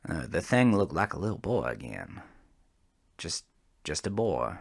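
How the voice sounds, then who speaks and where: Man acting a slow-talking, shaken American trucker. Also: deep voice, big pitch swings, creaky, husky, heavily acted. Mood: grim, subdued. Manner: folksy, coarse, rambling storytelling. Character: shaken, defensive trucker confessing a horrifying roadside encounter. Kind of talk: dramatic first-person horror audio monologue.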